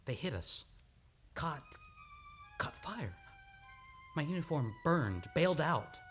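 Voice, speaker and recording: Actor as troubled, dramatic amnesiac man, swooping pitch. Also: sing-song, faint music behind. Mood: halting, haunted. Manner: halting, impassioned, swinging between teasing and anguish. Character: troubled amnesiac man, guilt-ridden, romantic, petulant. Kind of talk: old-time radio drama acting.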